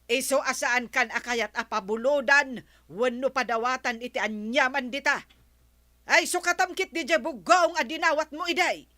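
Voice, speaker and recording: Shrill-pitched nagging neighbour woman. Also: normal speed, sharp tone. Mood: accusing. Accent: Ilocano. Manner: scolding, insistent. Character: nagging neighbour woman demanding borrowed things back. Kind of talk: Ilocano radio comedy-drama acting.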